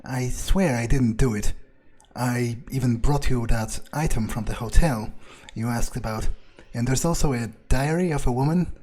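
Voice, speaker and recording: A man giving in-character game commentary, muttering his thoughts aloud in a halting, sweary, wryly understated way. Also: medium pitch, a little mellow. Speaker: video game player narrating in character. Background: game music.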